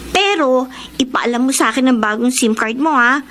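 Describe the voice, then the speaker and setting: A woman playing a caring, protective young friend in a Filipino radio drama, giving gentle advice. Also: high voice, moderate pace, background noise, natural voice. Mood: concerned.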